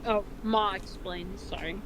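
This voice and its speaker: A woman's voice: medium pitch, fairly even intonation, unhurried. A woman co-reading fan fiction, chatting casually with plenty of swearing and exasperation. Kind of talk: casual joking chat and story narration. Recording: clearly noisy home recording, unbalanced levels.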